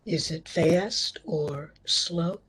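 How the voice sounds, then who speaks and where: A woman leading a guided meditation as instructor, speaking gently in short, measured phrases with a breathy, husky tone. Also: sits low.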